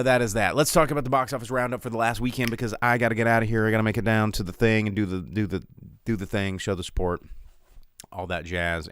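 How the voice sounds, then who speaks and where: An American man with a fairly low, clean and resonant voice hosts a solo show in a very dry recording. He rambles casually through rankings and commentary. His delivery is irreverent and sardonic. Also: fairly bright tone, clean tone, no room sound. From United States. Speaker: movie podcast host.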